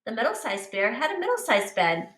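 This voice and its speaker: A woman teacher reading a picture book aloud to young children in an expressive, instructive way, pausing to explain and addressing them directly. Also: on the high side. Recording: roomy, echoing, distant microphone.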